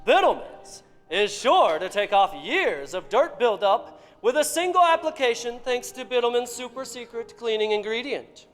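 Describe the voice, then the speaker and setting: A man doing a chirpy old-time radio pitchman. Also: sing-song, normal speed, a bit bright, exaggerated voice. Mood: salesy. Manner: bouncy, salesy, exaggerated pitch. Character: peppy 1940s radio announcer selling floor wax. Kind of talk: 1940s radio ad announcing, live on stage. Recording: theater hall reverb with faint music.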